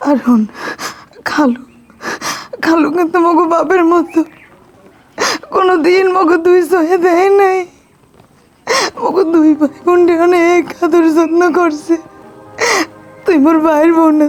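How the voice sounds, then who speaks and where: A woman's voice: sits high, moderate pace, long pauses, dark tone, faint music behind. A woman playing an ailing, despairing elder sister in a drama, pleading tearfully in a Barisal accent from southern Bangladesh. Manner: plaintive, pleading. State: crying. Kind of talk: emotional drama acting.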